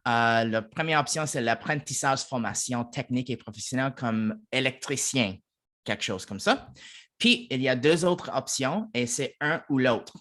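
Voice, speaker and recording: A man's voice: medium pitch, expressive, moderate pace, fairly bright tone. A man from Toronto, a native English speaker with an English-speaking Canadian accent, giving an informational presentation in his second language with many fillers and restarts.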